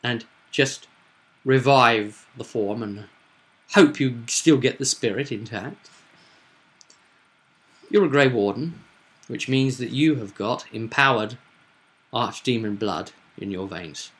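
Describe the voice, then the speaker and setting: Bright-voiced man, a dramatic game master. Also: medium pitch, takes it slowly, long pauses. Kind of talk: casual chat recounting a tabletop roleplay session.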